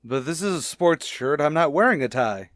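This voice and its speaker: An American actor playing a shy, naive bachelor schoolteacher, hesitant and earnest, in a vintage radio sitcom. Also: male voice, on the high side, lively intonation, moderate pace, a bit bright, dry recording, clean studio sound. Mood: flustered, earnest. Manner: hesitant, earnest, literal-minded.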